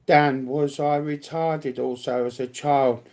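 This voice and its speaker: A British speaker in casual livestream banter, blunt, combative and swearing heavily, with a gravelly voice. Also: mid-range voice, steady tone, slow pace, darkish tone.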